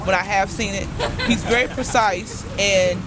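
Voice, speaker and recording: An easygoing passerby with a middle-pitched voice, talking casually on the street and laughing often. Loud traffic noise runs through the recording. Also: fairly even intonation, moderate pace, crowd noise. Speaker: passerby interviewee.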